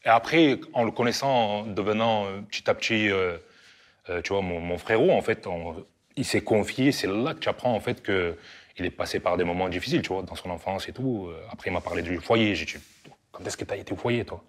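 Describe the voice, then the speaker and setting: A man's voice: deep voice, fairly quick. A man talking about an old friend in a casual interview, chatty and full of fillers. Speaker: childhood friend.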